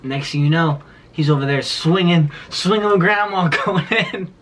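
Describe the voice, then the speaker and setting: A man's voice: fairly high pitch, moderate pace, fairly bright tone, a little hiss or hum. A man in his twenties telling a childhood story in a casual vlog, rambling with lots of fillers and laughing as he goes. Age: 20s. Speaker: vlogger.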